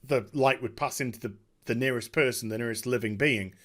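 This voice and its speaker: A man talking casually and off the cuff as a video host, emphatic and excitable, often halting and repeating his words. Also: medium pitch. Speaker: video host giving a game story discussion. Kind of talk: unscripted casual rambling commentary.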